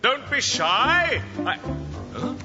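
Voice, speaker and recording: Grandiose, high-pitched stage magician. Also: male voice, very animated, takes it slowly, full character voice. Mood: showy. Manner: grandiose, theatrical patter. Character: showy, self-important male stage magician. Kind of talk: animated cartoon acting. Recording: dull lo-fi, long reverb, loud music.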